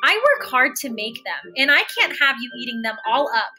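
A woman's voice: sits high, very animated, moderate pace, bright, forceful tone, soft background music, full character voice. Woman as a busy, grumpy storybook tree. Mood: dismissive. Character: busy, grumpy male tree refusing to help.

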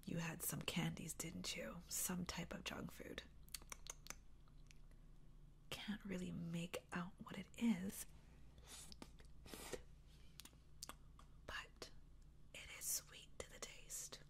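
Woman playing a slow, whispering seductive vampire. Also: on the low side, fairly even intonation. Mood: savoring. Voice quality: breathy whisper with creaky edges. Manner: slow, intimate, coaxing. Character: seductive, hungry female vampire savoring her victim. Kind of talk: ASMR roleplay. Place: small room indoors. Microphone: close to the mic.

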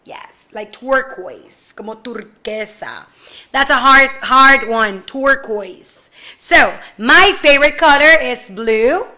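Young woman w/ teaching little kids on webcam, high bright voice, stretches words out slow. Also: animated. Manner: slow, drawn-out model phrases with playful asides. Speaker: ESL teacher for kindergarten. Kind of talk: online class for young children, mixing English and Spanish. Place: room at home in front of a slide screen.

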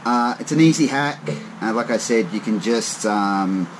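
A man's voice: fairly low pitch, expressive, unhurried, slight background noise. A man in a casual, laid-back hands-on demo, speaking informally and a bit hesitantly as a hobbyist maker. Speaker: hobbyist maker demonstrating homemade synths. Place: indoors at a wooden tabletop.

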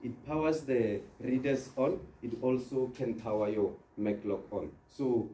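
A man's voice: mid-range voice, measured pace. A man giving an informal training presentation on products, explaining step by step with everyday scenarios. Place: indoor presentation room with large screen. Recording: dark, echoey, distant room recording with noise.

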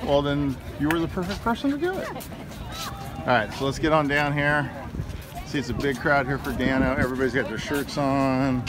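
A man's voice: mid-range voice, moderate pace. Chatty middle-aged man on a busy pier. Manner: chatty, lively, laughs easily. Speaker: vlogger filming a memorial paddle out. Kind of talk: casual handheld narration. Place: outdoors on a crowded ocean pier. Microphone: phone camera held by the speaker. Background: loud crowd chatter and wind.

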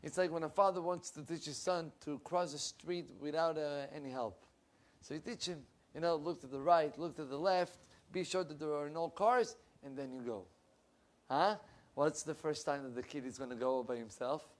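A young man, a rabbi giving an animated Torah class, his voice swinging between loud bursts and low asides. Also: normal speed. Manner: animated, with big swings in pitch and loudness and lively hand gestures. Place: wood-panelled room with bookshelves. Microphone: lapel.

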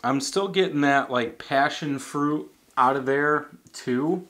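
A man in his thirties giving a casual beer tasting review at a home bar, thinking aloud with halting restarts. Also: a little high, fairly bright tone. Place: home bar in front of a banner.

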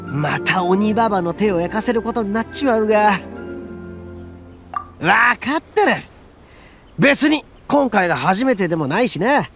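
A gravelly, cocky oni boss. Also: male voice, high-pitched, expressive, unhurried, long pauses, full character voice. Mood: resigned. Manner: swaggering, rough-spoken, self-proud. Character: boastful brash young male oni gang leader. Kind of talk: game cutscene voice acting. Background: soft game music.

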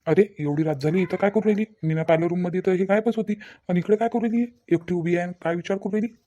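A man's voice: high-pitched, fast talker, mellow. A man voicing a puzzled, exasperated village husband in a cartoon family drama, with a questioning, grumbling manner and a Vidarbha Marathi accent. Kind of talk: dramatised cartoon dialogue in colloquial speech.

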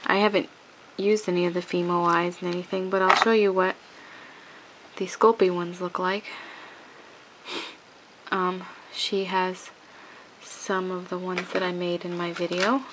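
A woman's voice: lowish voice, level tone, unhurried, heavy background noise. A woman with a cold talks through a hands-on craft tutorial at home, sniffling and rambling with many restarts. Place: home craft table, indoors.

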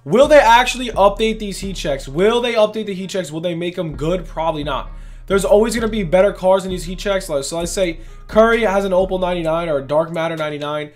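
A young man with a voice going hoarse, hosting a casual gaming video in hyped, rambling talk full of "literally" and "bro". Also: high-pitched, fast, soft background music. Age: young adult. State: losing his voice. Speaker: gaming channel host. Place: indoors at a gaming setup, face cam.

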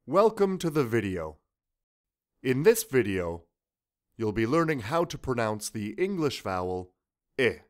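A man's voice: mid-range voice, very animated, a little slow, pauses often. A young Canadian man with a Canadian accent teaching pronunciation in a scripted lesson, carefully enunciating and repeating each practice word twice.

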